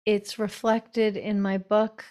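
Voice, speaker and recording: Mulher de fala lenta e pausada. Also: female voice, mid-range voice, level tone, warm tone. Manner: slow, reflective, unhurried speech. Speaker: author and guest. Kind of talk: podcast interview.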